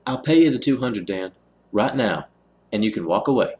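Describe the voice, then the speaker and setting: A man's voice: lowish voice, expressive, moderate pace, a little mellow, close to an everyday voice. A man playing an anxious railroad man in a Western fan audio drama, earnest and formal as he pleads and concedes. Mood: earnest, bargaining.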